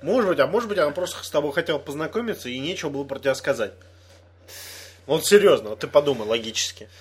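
Young man, husky voice, very lively pitch, teasing, laughs a lot. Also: fast talker. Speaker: friend in casual podcast chat. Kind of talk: casual chat.

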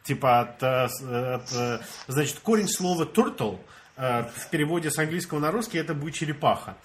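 A man's voice: on the high side, fairly quick, a little hiss or hum. A young man from Russia, telling a story in casual chat with friends and acting out the dialogue. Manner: animated storyteller, acts out dialogue, laughs often.

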